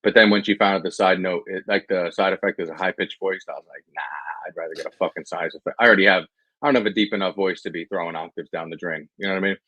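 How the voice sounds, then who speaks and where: Man in his thirties, guest on a video call, blunt and profane, husky low voice. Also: fairly even intonation, racing, pushed and bright, no room sound, lo-fi sound. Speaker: podcast guest, actor. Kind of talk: casual chat. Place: home room, indoors.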